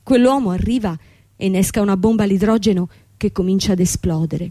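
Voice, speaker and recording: An actress playing a young, proud, bitter bankrupt company owner in a theatrical radio drama. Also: female voice, medium pitch, a little fast, warm tone, no room sound, studio quality, natural voice. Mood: anguished, sombre. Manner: theatrical, dramatic delivery with repetition.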